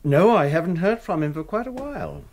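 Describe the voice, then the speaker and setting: An actor playing a reserved, courteous middle-aged surgeon in a radio drama, formal and understated in manner. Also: male voice, fairly high pitch, animated, brisk, very noisy, lightly acted. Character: reserved, polite London brain surgeon, visiting stranger.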